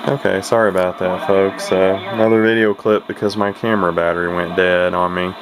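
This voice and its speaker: A man's voice: deep voice, little pitch movement, a little fast. A man giving a casual, unscripted hobby demonstration, rambling and hesitant, with a self-deprecating way of talking, recorded on a camera microphone. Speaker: vintage radio hobbyist presenting his own video. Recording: clearly noisy home camera recording. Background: clear background noise.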